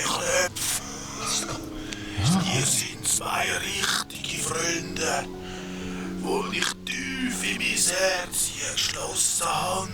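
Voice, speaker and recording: Solemn tender old friend, super slow n halting, kids radio play, loud music behind. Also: male voice, sits high, roomy sound, recorded from a distance, exaggerated voice. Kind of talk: children's radio play acting.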